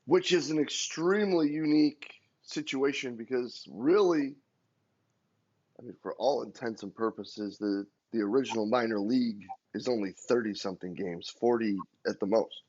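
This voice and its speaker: A man's voice: medium pitch, moderate pace, darkish tone, husky. A man hosting a podcast, asking long, winding interview questions. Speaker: podcast host. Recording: dull lo-fi audio.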